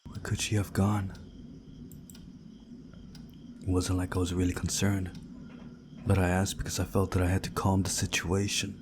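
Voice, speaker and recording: A man reading a visual novel story aloud in a measured, dramatic storytelling way, hushed at times. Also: low-pitched, monotone, background noise. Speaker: video game playthrough narrator.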